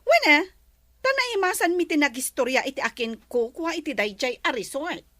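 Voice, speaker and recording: A woman with an Ilocano accent playing a bossy, haughty, wealthy resort owner in a radio drama, with drawn-out, imperious delivery. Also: high voice, expressive, sharp tone, no room sound.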